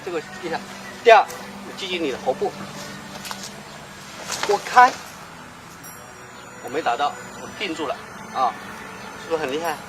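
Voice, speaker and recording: A man's voice: on the high side, lively intonation, measured pace, pauses often. A man demonstrating martial arts self-defense, emphatic and asking rhetorical questions. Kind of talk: demonstration explanation. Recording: outdoor clip with traffic noise.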